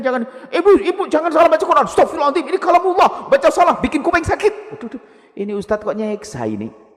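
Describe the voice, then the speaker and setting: Animated male preacher in a reverberant hall. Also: a little high. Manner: animated, humorous, acts out quotes. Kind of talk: sermon.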